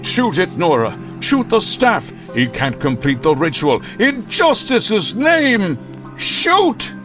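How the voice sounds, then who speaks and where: Casting: righteous pulp avenger for radio serial, theatrical, highish, slow and swooping. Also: male voice, sing-song, soft background music. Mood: righteous fury. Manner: theatrical, declamatory. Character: melodramatic male pulp vigilante hero, righteous and bombastic. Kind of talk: old-time radio drama acting.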